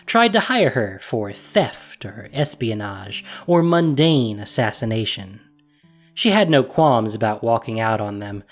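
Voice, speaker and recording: A narrator reading a fantasy story in a measured, wry manner. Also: medium pitch.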